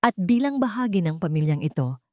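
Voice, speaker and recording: A woman's voice: lowish voice, brisk, dry recording, clean studio sound, lightly acted. A woman voicing a haughty, status-obsessed wealthy mother-in-law in a narrated story, stern and emphatic. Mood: cold, annoyed. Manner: calm, emotionless, stern, emphatic delivery. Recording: music behind the voice.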